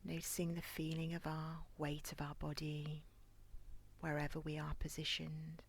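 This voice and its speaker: A woman leading a guided body scan meditation in a soft, near-whispered, breathy voice with a calm, gentle, soothing manner. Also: deep voice, moderate pace. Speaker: guided relaxation instructor.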